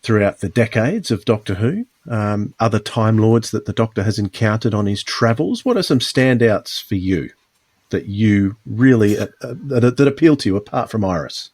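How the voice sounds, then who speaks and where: Podcast host guy, bit low and husky, very dry sound, just chatting through the topic. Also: animated, normal speed, darkish tone, dry recording. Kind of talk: casual podcast presenting.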